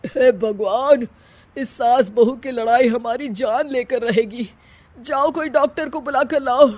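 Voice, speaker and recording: Long-suffering husband, put on by a woman, high pitch, wailing, melodramatic comic family tale. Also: unhurried, darkish tone, no echo. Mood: wailing in pain. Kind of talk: dramatized comic family story.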